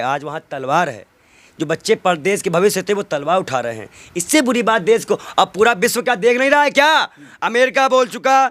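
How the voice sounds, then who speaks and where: A man with a strikingly high-pitched voice in an impassioned political interview. He argues indignantly, piling up rhetorical questions and repeating key phrases for emphasis. The sound is dry, with almost no room echo. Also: a bit bright.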